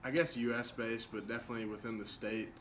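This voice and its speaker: A young man student answering in a relaxed, matter-of-fact way. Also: medium pitch, fast, a little hiss or hum. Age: young adult. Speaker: student interviewee.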